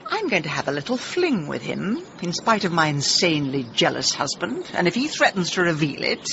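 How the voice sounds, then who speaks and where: An actress playing a brash, scheming middle-aged British amateur sleuth with a wry, tart manner in a radio comedy-drama. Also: female voice, fairly low pitch, very animated, fairly quick, creaky, fairly bright tone, lo-fi sound, slight background noise, full character voice. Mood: wry, determined.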